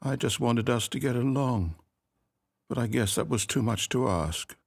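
A needy, sarcastic game narrator. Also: male voice, mid-range voice, moderate pace, vocal fry, slightly rough. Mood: hurt. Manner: swings between pleading, sarcasm and excitement. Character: fussy, needy male narrator of an office game.

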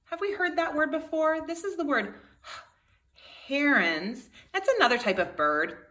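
A woman's voice: sits high, expressive, measured pace, fairly bright tone. A woman in her thirties, a kindergarten teacher reading a storybook aloud expressively to young children.

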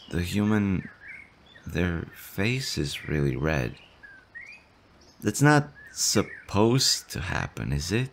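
A man's voice: sits low, slight background noise, heavily acted. A man voicing a nervous, shy male slime monster in an audio roleplay, stammering and fretful as he tends to someone. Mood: anxious. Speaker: voice actor.

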